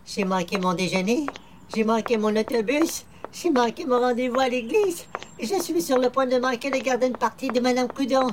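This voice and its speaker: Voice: mid-range voice, moderate pace, background noise, close to an everyday voice. Personne jouant un dévot malchanceux, plaintif et formel. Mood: flustered, complaining. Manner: formal, plaintive, theatrical. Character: hapless, weary, pious churchgoer with formal old-fashioned speech. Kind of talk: old-time radio comedy acting.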